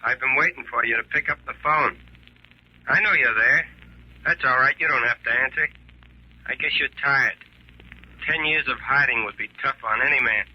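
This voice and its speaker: A man's voice: mid-range voice, moderate pace, long pauses, slightly rough, muffled recording, natural voice. An actor playing a menacing male stalker on the telephone, taunting and coldly threatening, in 1940s radio drama style.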